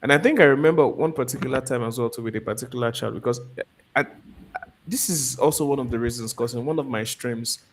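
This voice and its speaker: A man's voice: medium pitch, fast talker, a little hiss or hum. A man with an ordinary mid-range voice hosts a live online interview. He repeats words and restarts his sentences as he asks his questions. The sound comes over a video call. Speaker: host of a live stream. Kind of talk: interviewing a guest.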